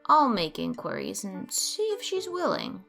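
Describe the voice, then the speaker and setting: A woman's voice: fairly high pitch, huge pitch swings, measured pace, vocal fry, a bit bright, faint music behind, exaggerated voice. A woman playing a curt, officious butler in an audio drama, with clipped, emphatic delivery. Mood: politely officious. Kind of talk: theatrical audio drama acting.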